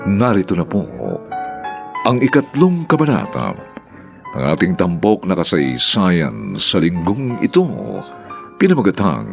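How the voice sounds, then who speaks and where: A man with a Filipino accent announcing a radio drama in a grand, drawn-out theatrical style. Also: on the low side. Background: music.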